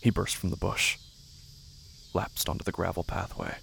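A man giving a scripted, dramatic narration of a horror story in a deliberate, intense manner. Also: low-pitched, very animated, creaky, a bit bright, slight background noise. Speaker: narrator of a horror fiction podcast.

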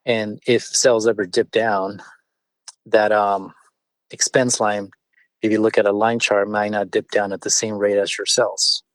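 A man whose first language is Spanish, a business owner answering interview questions in a measured way with frequent fillers and restarts. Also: lowish voice, flat delivery, no echo. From born in California, partly raised in Mexico City. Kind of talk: conversational interview.

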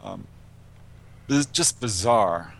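A man's voice: lowish voice, wildly swooping pitch, very slow, gravelly. Man recounting cases as an interview guest. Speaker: interview guest, paranormal researcher and author. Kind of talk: conversational interview, telling case anecdotes.

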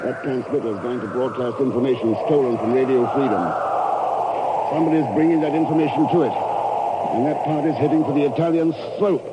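An actor playing a calm, decisive secret agent in a radio drama, terse and dry in manner. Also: male voice, mid-range voice, fairly quick, close to an everyday voice. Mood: calm, assured. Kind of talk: 1950s radio drama acting. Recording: muffled, very noisy 1950s radio recording.

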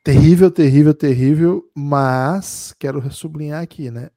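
A man co-hosting a casual sports podcast, speaking in a measured way and thinking aloud with frequent pauses. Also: on the high side, warm tone, dry recording, studio quality. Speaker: podcast co-host.

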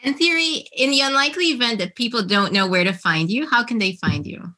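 A woman hosting a podcast, upbeat and quick to laugh as she interviews and closes the show. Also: on the high side, bright, forceful tone. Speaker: podcast host. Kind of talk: casual interview, show outro.

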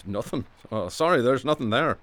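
A man's voice: mid-range voice, lively intonation, moderate pace, fairly bright tone, close to an everyday voice. An actor in a radio drama playing a troubled former policeman, hesitant, sardonic and evasive as a patient. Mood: defensive, wry.

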